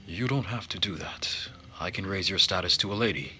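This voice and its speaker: A man voicing a gentle, earnest, quietly sorrowful young nobleman in a visual novel, speaking formally and in measured phrases. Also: sits low. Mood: kind. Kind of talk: visual novel character read-aloud. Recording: music behind the voice.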